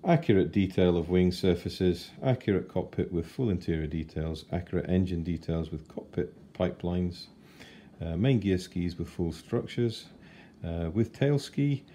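A man in his forties giving a chatty, unhurried model kit review at his kitchen table. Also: deep voice, dark tone, a little hiss or hum. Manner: chatty and unhurried, with fillers and self-corrections. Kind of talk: casual unboxing review.

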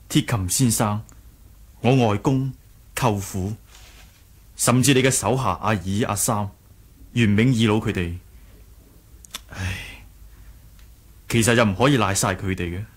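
A man's voice: on the low side, husky. A man playing a kind-hearted, earnest young martial-arts hero in a radio drama, mild, hesitant and sincere. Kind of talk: Cantonese radio drama acting, 1980s.

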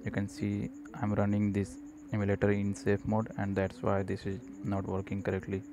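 A man narrating an unscripted screencast tutorial, explaining step by step in a halting way. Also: lowish voice, completely flat delivery. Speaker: programming tutorial presenter. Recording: noticeable background noise.

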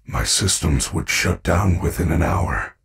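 A slow gravelly animatronic bear from a video game, sweet n earnest, super formal, little far from the mic. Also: male voice, low voice, steady tone, a bit bright, heavily acted. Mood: earnest, concerned. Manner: formal, earnest, careful phrasing with few contractions. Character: gentle, earnest animatronic bear, a robotic stage performer.

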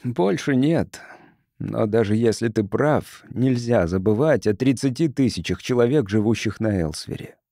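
A man's voice: mid-range voice, expressive, normal speed, warm tone, dry recording, studio quality, close to an everyday voice. A man voicing an earnest, polite sociologist from Earth who reasons with others in an audiobook. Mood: earnest pleading. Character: earnest, conciliatory male visiting sociologist from Earth.

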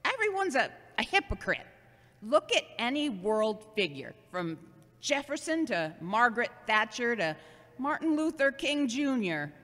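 A woman playing a loving, gently scolding seventy-year-old mother in an audio drama, earnest and halting. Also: on the high side, very animated, heavily acted. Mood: earnest, admonishing. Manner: earnest, sermonizing, halting delivery. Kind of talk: amateur audio drama acting. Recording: long hollow reverb.

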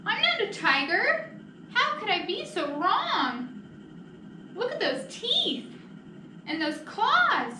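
Woman reading as a small boastful mouse, high-pitched. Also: bright, forceful tone, heavily acted. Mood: astonished, self-assured. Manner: cocky, insistent. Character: small boastful stubborn mouse claiming to be a tiger. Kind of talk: picture-book read-aloud. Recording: echoey room, distant mic, noisy.

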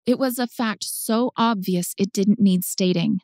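A woman's voice: medium pitch, unhurried, darkish tone, no room sound, clean studio sound. A woman narrating a story for an audiobook in a scripted style.

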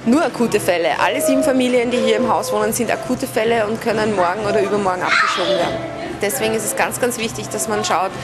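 A woman's voice: medium pitch, fast. A woman in her thirties, an activist, speaking earnestly and with determination in an interview. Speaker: activist, house organizer. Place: crowded indoor hall. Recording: music behind. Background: music.

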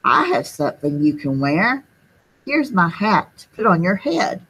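A woman's voice: fairly low pitch, sing-song, measured pace, fairly bright tone, husky, exaggerated voice, poor audio. A woman voicing helpful, eager young children in a storybook, with an exclaiming delivery. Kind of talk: children's storybook read-aloud.

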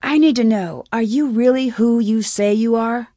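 A woman's voice: on the high side, normal speed, dark tone, no echo, studio quality, lightly acted. A woman voicing a determined, suspicious young amateur detective in a video game, with an earnest, questioning manner. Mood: insistent.

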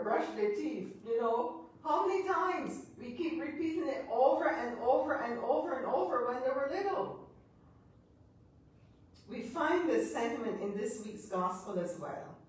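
A middle-aged woman priest with a Barbadian accent preaches from a pulpit, chatty and joking with the congregation between points. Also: high voice. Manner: chatty, laughing asides, teasing the congregation. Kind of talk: sermon with congregation banter. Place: church sanctuary at a pulpit. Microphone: far camera. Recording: echoey church from a distance.

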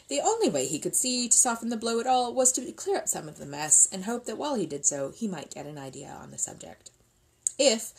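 A middle-aged woman reading a children's book aloud as expressive scripted narration, seated indoors at a table. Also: on the low side, rough recording.

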